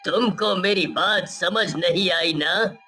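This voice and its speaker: A woman's voice: fairly low pitch, slow pace, pushed and bright, soft background music, pitched below the performer's own voice. A woman voicing a stern, angry village father in a cartoon story, scolding and commanding. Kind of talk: Hindi cartoon story acting.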